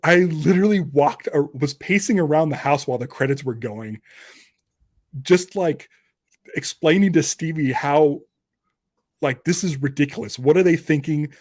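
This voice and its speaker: A man talking casually about TV and film, in informal, halting phrases. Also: on the high side, darkish tone, no room sound, clean studio sound. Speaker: podcast speaker.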